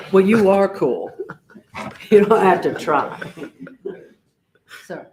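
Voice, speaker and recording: A man's voice: sits high, lively intonation, very slow. A man moderating a live event, upbeat and welcoming, laughing often as people introduce themselves. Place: meeting room at a live event. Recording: echoey room from a distance, faint hiss.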